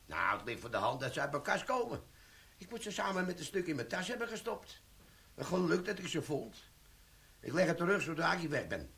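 An actor playing a sly, glib army quartermaster sergeant in a radio play, talking with cheeky, wheedling banter. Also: male voice, on the high side, very animated, a little fast, creaky, fairly bright tone, slightly rough, exaggerated voice. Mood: evasive.

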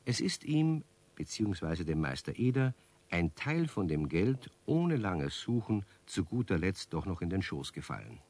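A storyteller narrates a children's radio play. His voice is very low and husky, and the scripted telling moves with lively intonation. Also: moderate pace, full character voice. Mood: explanatory.

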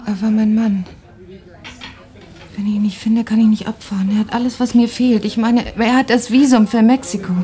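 A woman's voice: on the high side, fairly even intonation, warm tone, close to an everyday voice. A woman playing a weary refugee searching for her husband. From Germany. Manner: weary, halting, at times near a whisper. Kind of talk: radio drama acting.

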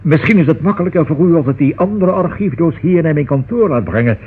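A man's voice: fairly high pitch, lively intonation, fast, a little hiss or hum, close to an everyday voice. A man acting a fussy, nervous, forgetful solicitor in a radio play, with hesitant, exclamatory speech. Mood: uneasy. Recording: muffled, band-limited old radio recording.

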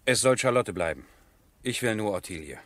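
An actor playing a passionate, headstrong nobleman in love, husky and theatrical, in a 1970s German radio drama. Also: fairly low pitch, lively intonation, a little fast. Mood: feverishly hopeful. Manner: impassioned, theatrical, swinging from hushed to ringing.

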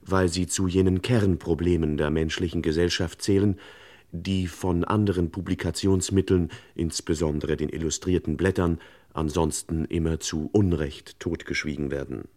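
An actor playing a wry, pompous, mock-solemn narrator of a comic crime radio play. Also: male voice, deep voice, fairly even intonation, moderate pace, dark tone, close to an everyday voice.

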